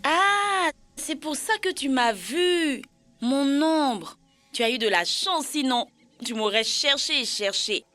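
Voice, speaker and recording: Bright, insistent cartoon kid. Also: female voice, high-pitched, animated, slow, faint music behind, exaggerated voice. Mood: put out. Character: bossy, clever child character explaining things to a friend. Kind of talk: dubbed educational cartoon dialogue.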